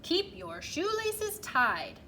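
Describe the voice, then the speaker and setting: A woman voicing an earnest male police officer in a storybook, with an emphatic, deliberate, instructive manner. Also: very high-pitched, wildly swooping pitch, distant mic, pitched above the performer's own voice, heavily acted. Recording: clearly noisy background.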